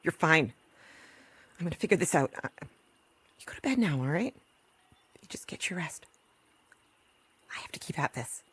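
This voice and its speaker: A woman playing a rural doctor and protective mother in a post-apocalyptic audio drama, with a husky voice. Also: deep voice, big pitch swings, moderate pace, long pauses, fairly bright tone, full character voice. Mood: worried, guarded.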